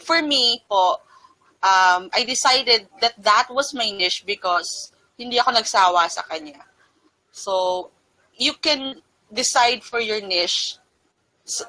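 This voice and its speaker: A woman's voice: medium pitch, bright, forceful tone, low-quality recording. A Filipino woman guest answering interview questions casually, mixing Tagalog and English. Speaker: guest freelancer.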